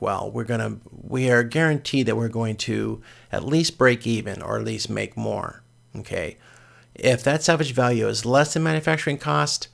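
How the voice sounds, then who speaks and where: A man giving an informal, step-by-step spreadsheet lecture as a course instructor, with a gravelly, creaky voice. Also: medium pitch, fairly bright tone. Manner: explains step by step in plain informal words.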